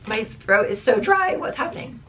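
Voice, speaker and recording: A woman reviewing beauty products to camera in a chatty, rambling way, full of fillers, her voice strained and going hoarse. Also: on the high side, very animated, normal speed, noisy background. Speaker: beauty vlogger.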